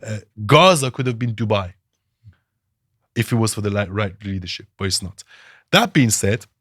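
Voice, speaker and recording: A man's voice: on the low side, fairly bright tone, no echo, clean studio sound. A man from Iran, a political analyst guest, arguing his views emphatically with rhetorical questions in a podcast interview.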